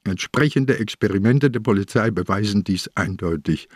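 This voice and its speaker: A grave old bedridden inspector of radio crime drama. His voice sits fairly low, blunt and stubborn, recorded very dry. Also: moderate pace, breathy edge, dry recording. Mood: grave reading. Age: older.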